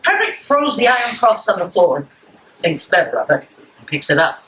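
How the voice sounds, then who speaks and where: A woman's voice: fairly low pitch, big pitch swings, normal speed, fairly bright tone, poor audio. A woman reading stage directions as scripted narration at a live reading in a room. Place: indoor room at a public library.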